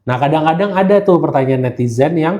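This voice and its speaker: A mellow-voiced Indonesian man speaks as a podcast guest. His pitch sits a little high for a man. He talks in a casual, slangy way and drops English phrases into his sentences. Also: fairly quick, muffled recording. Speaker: guest gemologist who hosts live streams.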